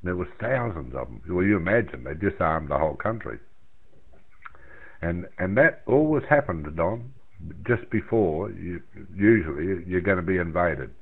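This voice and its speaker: A thin, band-limited radio transmission carries the low voice of an older Australian man. He chats casually and plainly, rambling a little and circling back over his own words. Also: expressive, normal speed, slightly rough. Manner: rambling, plain-spoken, repeats himself. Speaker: amateur radio operator in a round-table chat. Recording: narrow, tinny radio transmission.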